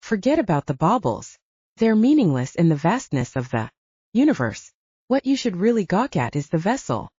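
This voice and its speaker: A woman's voice: on the low side, sing-song, moderate pace, warm tone, breathy edge, dry recording. A woman voicing an intense, earnest adult male werewolf with formal phrasing in an audiobook story. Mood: earnest, awed. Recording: dull lo-fi audiobook recording.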